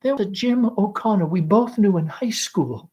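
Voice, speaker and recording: Man acting painfully shy, anxious young woman, high-pitched. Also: lively intonation, moderate pace, dark tone. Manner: breathless, hesitant, pleading.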